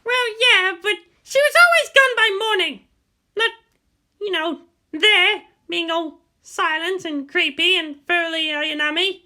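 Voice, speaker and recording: A woman voicing a fiery, indignant, bratty teenage girl who talks in loud, huffy accusations in a story reading. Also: very high-pitched, a little slow, pitched above the performer's own voice, full character voice. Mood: huffy, outraged.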